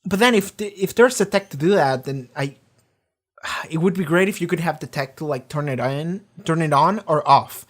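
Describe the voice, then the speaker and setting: A reaction video host in a casual, rambling discussion, often talking loudly, swearing freely and filling pauses with lots of "like" and "um". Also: medium pitch. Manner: swears freely, many fillers and restarts; often talks loud.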